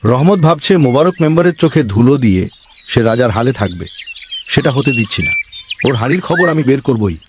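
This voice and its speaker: A man's voice: medium pitch, animated, fast talker, noisy background, natural voice. A man voicing a greedy, scheming village council member in a cartoon, bossy and scolding. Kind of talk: cartoon voice acting.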